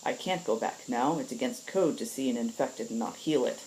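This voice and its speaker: Lady doing a stern tired agent woman from a story, blunt, very low dark voice. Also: normal speed, slight background noise, poor audio. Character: stern, weary female healer agent, blunt executioner.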